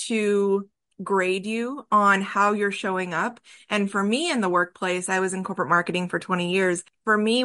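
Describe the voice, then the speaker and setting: A woman's voice: mid-range voice, a bit bright, no echo. A woman hosting an interview podcast, talking in an earnest, encouraging and emphatic way about workplace experience. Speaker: podcast host. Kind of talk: interview conversation.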